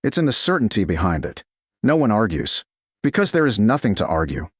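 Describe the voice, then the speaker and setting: A man with a fairly deep voice narrates a romantic story as an audiobook. His pitch moves widely across short, measured, dramatic phrases. The sound is close and dry, with very little room in it. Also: sing-song, husky, dry recording.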